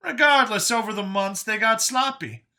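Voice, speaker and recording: A man voicing an earnest older male escaped captive in an audiobook, explaining past hardship in a halting, emphatic way. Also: high-pitched, pushed and bright, pitched above the performer's own voice, full character voice. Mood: somber recounting. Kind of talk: audiobook character dialogue.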